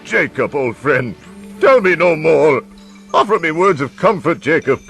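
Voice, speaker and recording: A frightened old miser, played by a man in a live radio drama. The voice is rather high and very animated, stammering and quavering in melodramatic pleas. Music plays behind. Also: unhurried, gravelly, exaggerated voice. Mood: pleading. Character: frightened old male miser, crabby and pleading.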